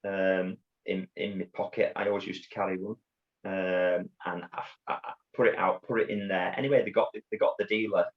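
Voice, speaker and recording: A middle-aged man with a Yorkshire accent, telling his story as an interview guest in a halting way, with many restarts and repeated words. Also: deep voice, little pitch movement. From Bradford, England. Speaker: guest, former undercover police officer. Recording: dull lo-fi audio.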